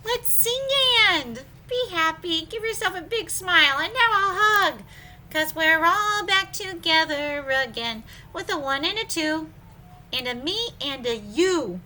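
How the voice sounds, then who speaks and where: A woman leads story time for preschoolers in a high, bright voice. She takes her time, with a sing-song, exclaiming delivery full of questions to the children. There is some noise behind her. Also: lively intonation, measured pace. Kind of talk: animated read-aloud to young children.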